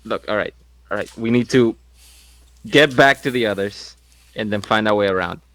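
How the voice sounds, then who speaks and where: A man's voice: fairly low pitch, normal speed, a bit bright, no room sound, low-quality recording. A man playing a tabletop role-playing game, chatting casually and speaking for his character. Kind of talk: casual chat and in-character play.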